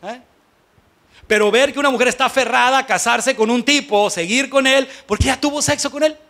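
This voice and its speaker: High-voiced Mexican man preaching bluntly. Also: fairly quick, bright, forceful tone. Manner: blunt, colloquial, emphatic with rhetorical questions. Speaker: pastor. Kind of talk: conversational sermon to a congregation.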